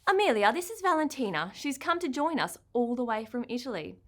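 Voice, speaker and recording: A woman voicing a brisk, encouraging female ballet teacher in a storybook, polite but in charge. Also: a little high, sing-song, pitched above the performer's own voice.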